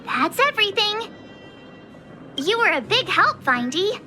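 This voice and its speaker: A woman voicing a perky, excitable teenage girl adventurer in a video game, bubbly and playful. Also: very high-pitched, unhurried, full character voice. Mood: cheerful. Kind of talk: anime-style video game voice acting. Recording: game audio with music behind.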